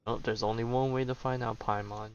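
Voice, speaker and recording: A man's voice: medium pitch, fairly even intonation, a little fast, darkish tone, lo-fi sound, a little hiss or hum. A man giving casual video game commentary, rambling and thinking aloud as he plays.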